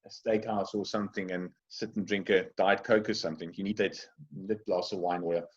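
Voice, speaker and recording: A man answering interview questions over a call, halting and often repeating words. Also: low-pitched, steady tone, highs cut off. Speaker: wine trade guest.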